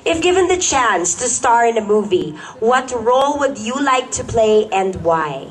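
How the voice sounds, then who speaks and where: A woman hosting a live pageant question round, briskly announcing contestants and stretching out their names. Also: on the high side, sing-song, rough recording. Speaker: pageant host. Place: live event stage at a mall. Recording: live event with crowd noise. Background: audience crowd.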